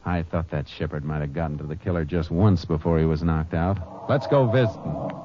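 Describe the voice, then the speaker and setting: Deep laconic Ranger, 1950s radio drama, noisy dull old recording. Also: male voice, a little fast. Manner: laconic and even-tempered. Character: calm, steady male Texas Ranger lawman.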